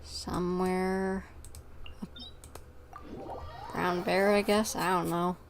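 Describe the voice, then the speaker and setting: A woman giving casual livestream commentary on a game, musing and thinking out loud. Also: fairly low pitch, little pitch movement, slow, creaky, a little hiss or hum.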